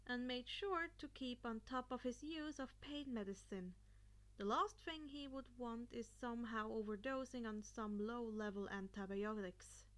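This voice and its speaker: A woman's voice: high-pitched, fairly bright tone. A woman reading a story aloud as a podfic narrator, occasionally stumbling and repeating a phrase.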